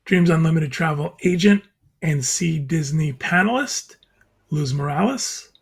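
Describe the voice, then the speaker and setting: Man, panel host, friendly and steady, gravelly tone, husky. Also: a little high, unhurried. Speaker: podcast host. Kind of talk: hosting a panel chat.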